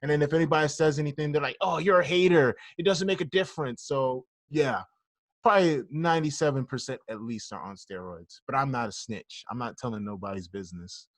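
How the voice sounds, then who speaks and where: A man's voice: medium pitch, fairly quick, no echo. A man co-hosting a casual podcast, talking bluntly and jokingly and swearing freely. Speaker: podcast co-host.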